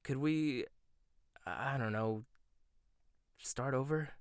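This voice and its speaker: A young man voicing a proud, sharp-tongued male chef in a roleplay audio, speaking close to the mic. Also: mid-range voice, slow, dry recording, close to an everyday voice. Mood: soft, sincere. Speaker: voice actor. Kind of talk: boyfriend ASMR roleplay.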